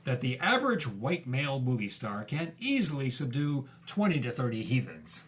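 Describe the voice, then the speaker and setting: Wry, animated male narrator with bright voice. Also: mid-range voice, moderate pace. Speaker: co-host and narrator. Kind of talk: scripted narration with wry commentary.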